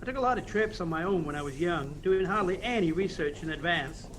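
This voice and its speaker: A man's voice: high-pitched, animated, fairly quick, fairly bright tone, slight background noise. A man acting in an audio play as an earnest, reflective embassy official with a conversational manner.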